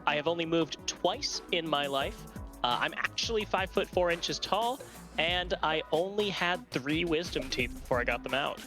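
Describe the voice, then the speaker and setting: Bright, higher-voiced wisecracking man. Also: expressive, moderate pace, soft background music. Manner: wisecracking, dry jokes. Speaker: esports caster. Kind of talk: casual banter on a stream.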